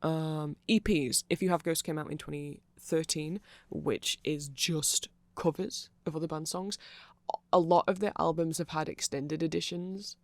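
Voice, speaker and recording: A man's voice: fairly high pitch, steady tone, measured pace, no echo. A man giving a rambling, nerdy, informal ASMR explainer, often hesitating and interrupting himself. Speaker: ASMR creator explaining band lore.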